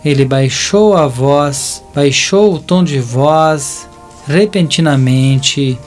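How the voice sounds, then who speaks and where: A man with a Brazilian accent narrating a scripted audio drama as a deliberate storyteller who addresses listeners. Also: on the high side. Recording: voice over a music bed.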